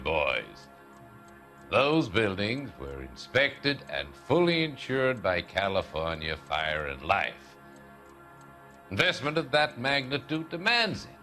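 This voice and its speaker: An actor playing a smug, blustering property developer in a video game, breezy, condescending and self-assured. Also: male voice, lowish voice, sharp tone, heavily acted. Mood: defensive, condescending.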